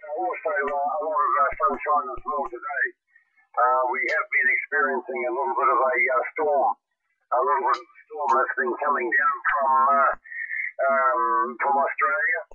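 Over a thin, band-limited shortwave radio link, a man chats as a radio operator. His voice sits a little high. He is polite and hesitant in his phrasing. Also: narrow, tinny audio. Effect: shortwave radio transmission. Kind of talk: casual radio contact chat.

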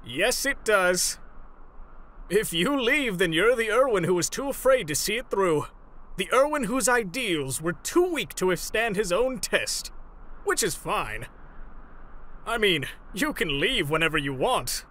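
A man voicing a big, earnest, stubborn heroic mentor in a comic dub, deliberate and declamatory, over music. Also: sits high, sing-song, bright, forceful tone, exaggerated voice. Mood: triumphant defiance. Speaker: voice actor in a fan comic dub. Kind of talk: dramatic comic dub acting. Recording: prominent music behind the voice.

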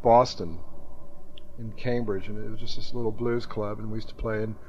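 A man's voice: lowish voice, level tone, moderate pace, warm tone. A man speaking casually as a musician guest in a radio interview. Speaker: interview guest, band drummer. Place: radio station studio. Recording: dull, noisy 1982 AM radio aircheck.

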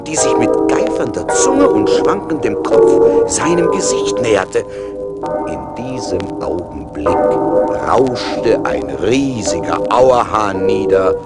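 A man's voice: fairly low pitch, sing-song, slow pace. A man narrating a fairy tale dramatically, in the manner of a 1980s radio play record. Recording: music behind the voice.

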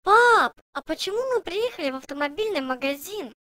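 A woman voicing a cheerful, insistent little girl pig in a children's cartoon, chirpy and expressive with childlike questioning. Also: extremely high pitch, fairly quick, dry recording, studio quality, heavily acted. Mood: doubtful, bossy.

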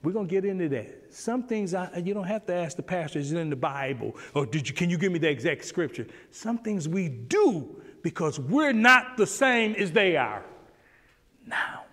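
Animated middle-aged man preaching in a church. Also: sits high, moderate pace, in a large hall. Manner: animated, acts out dialogue with God, swings loud and quiet. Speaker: Sunday school teacher. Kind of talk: preaching Sunday school lesson. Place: church sanctuary, standing before a pulpit.

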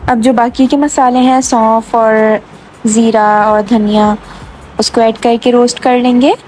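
Woman, cooking host, calm step-by-step instructions, mellow tone, faint music behind. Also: on the high side, unhurried, poor audio. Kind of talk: step-by-step recipe narration.

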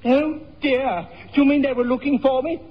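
A man playing a fussy, polite, easily baffled gentleman in a 1960s radio sitcom, prissy and formal. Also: very high-pitched, steady tone, normal speed. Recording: muffled echoey old radio, studio audience.

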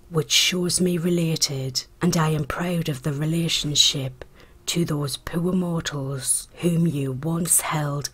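A woman narrates a history script in a low voice. It is very breathy and croaky, often close to a whisper. She reads slowly and in a measured way, as for a documentary. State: croaky voice after a hospital stay.

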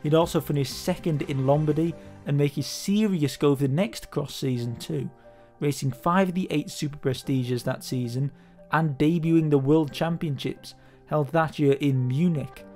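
A man narrating a scripted sports history documentary in a steady, measured way. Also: on the high side. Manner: steady, measured storytelling delivery. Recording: voice-over with faint background music.

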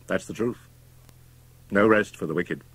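A man's voice: fairly low pitch, measured pace, breathy edge, no room sound, pitched above the performer's own voice. Man acting a cool, domineering young villain. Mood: smooth persuasion. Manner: calm, persuasive, emphatic. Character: cool, domineering, worldly young doctor, a murderer.

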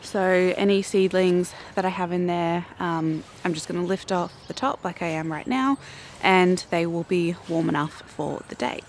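A young woman with an Australian accent and a croaky morning voice, chatting casually and self-mockingly to camera in her backyard garden. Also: on the low side, moderate pace, slight background noise. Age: young adult. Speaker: flower farming vlogger. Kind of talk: casual vlog talk to camera.

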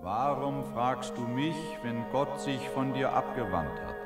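A man's voice: medium pitch, big pitch swings, unhurried. A man playing a stern old prophet's ghost in a radio play, solemn and reproachful. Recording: echoing long reverb over loud music.

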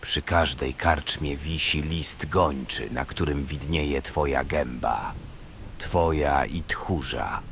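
An actor playing a menacing strangler and teasing older brother in an audio drama, with an amused, taunting, sardonic manner. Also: male voice, very deep voice, takes it slowly, fairly bright tone, slight background noise, heavily acted. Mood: cool, matter-of-fact. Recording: music behind the voice. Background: background music.

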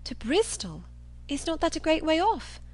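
A woman's voice: high voice, sing-song, normal speed, pitched above the performer's own voice. A woman voicing a naive, earnest young woman, polite and questioning, in a storybook reading. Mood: hesitant.

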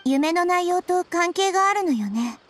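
A woman voicing a small childlike goddess in an anime game, composed, polite and gentle. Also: very high-pitched, lively intonation, normal speed. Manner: polite, composed, softly reassuring. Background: game music.

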